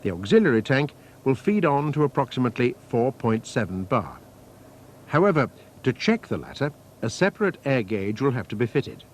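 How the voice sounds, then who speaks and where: A man reading scripted technical instructions as a training film narrator, in a measured, methodical, formal manner. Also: mid-range voice, big pitch swings. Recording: faint noise, archival training film soundtrack.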